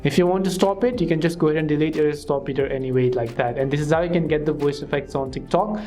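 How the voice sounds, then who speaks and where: A man hosting a tutorial channel, calmly walking through app steps one by one in a scripted voice-over. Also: on the high side, quick pace, faint music behind. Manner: steady step-by-step instructions with filler phrases. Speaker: tutorial host. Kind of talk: scripted screen-recording tutorial.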